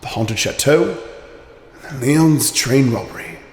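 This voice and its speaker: An actor playing a calm, commanding male detective who lays out his reasoning in a radio drama. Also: mid-range voice, reverberant, in a large hall, lightly acted. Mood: explaining. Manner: measured, explaining.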